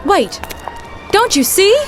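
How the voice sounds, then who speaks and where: An actress playing a plucky, practical young woman from the 1930s in a comic audio drama, brisk and matter-of-fact. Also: very high-pitched, very animated, over music, full character voice. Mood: puzzled. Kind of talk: period audio drama comedy acting.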